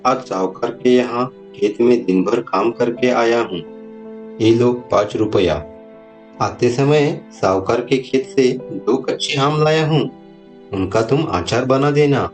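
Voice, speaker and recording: A man's voice: medium pitch, measured pace, natural voice. A man as a tired village husband. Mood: tired, hopeful. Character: poor, plain-spoken village husband, tired labourer. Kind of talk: acted dialogue in a Hindi moral story. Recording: music bed behind the voice.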